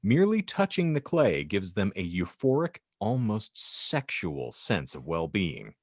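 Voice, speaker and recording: Wry man, low-pitched, animated podcast host. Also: measured pace, breathy edge, no room sound, clean studio sound. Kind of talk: casual podcast chat, reading hypothetical scenario aloud with asides.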